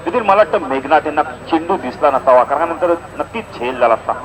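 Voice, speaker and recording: Male cricket commentator, fairly high voice, live at an outdoor local tournament with clear crowd noise. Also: lively intonation, quick pace, poor audio. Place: outdoor village cricket ground. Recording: outdoor event sound, crowd behind.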